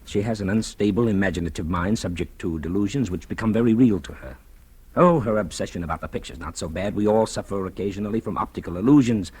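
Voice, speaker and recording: An actor playing a firm, paternal doctor with an admonishing, measured manner in 1940s radio drama style. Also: male voice, fairly low pitch, a little mellow, husky. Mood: musing, wary. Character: firm, paternal doctor treating a fearful patient.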